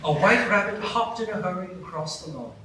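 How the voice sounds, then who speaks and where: A man's voice: sits high, expressive, a little fast, bright, forceful tone. A man narrating a live radio play in a storybook manner. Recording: echoey stage, distant mic, noisy.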